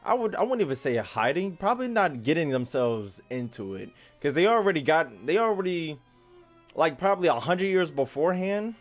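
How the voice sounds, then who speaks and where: A man's voice: a little high, very animated, moderate pace, soft background music. Casual young man streaming a game. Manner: informal, slangy, rambling. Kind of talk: casual livestream chat and commentary.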